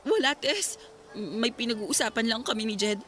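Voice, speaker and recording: A woman's voice: on the high side, animated, moderate pace, fairly bright tone, a little hiss or hum. An actress playing a distressed young female student pleading with her boyfriend in a whiny way, in a radio drama. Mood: anxious. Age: young adult.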